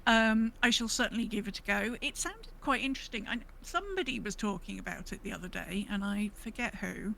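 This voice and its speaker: A woman's voice: mid-range voice, animated, brisk, vocal fry, hardly any pauses, a bit bright. Female podcast co-host, mid-range voice, informal and meandering, slight noisy ambience behind. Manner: rambling, thinking aloud. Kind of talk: casual gaming podcast chat.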